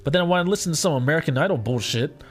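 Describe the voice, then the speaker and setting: A man co-hosting a casual chat show with a raspy voice, talking bluntly and swearing freely. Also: fairly high pitch, lively intonation, racing, faint music behind. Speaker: co-host.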